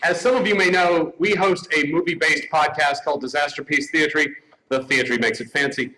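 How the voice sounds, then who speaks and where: A man's voice: a little high, steady tone, fairly quick, pushed and bright, poor audio. A man giving a comic stage introduction as an award presenter. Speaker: podcast host presenting an award.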